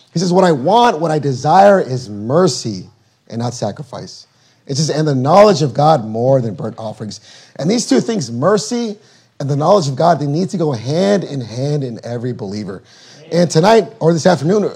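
A man preaching a sermon while losing his voice, reading scripture slowly and deliberately, then explaining it in plain words. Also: fairly high pitch, a little mellow. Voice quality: husky. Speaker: guest preacher.

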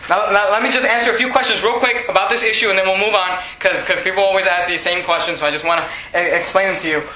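Bright-voiced preacher with Spanish as first language. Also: male voice, sits high, steady tone, fast talker. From Venezuela. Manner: emphatic preaching with rhetorical questions, frequent restarts. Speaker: pastor. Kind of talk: sermon. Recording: echoey room with faint noise.

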